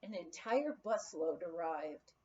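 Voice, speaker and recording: A woman narrating a memoir as an audiobook reader, in an expressive storytelling manner on a slightly dull recording. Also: on the low side, slow pace.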